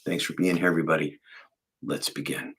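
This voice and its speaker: A man with a faint Boston accent, a meditation teacher giving a calm, unhurried, soft-spoken guided meditation talk. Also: very deep voice, slight background noise.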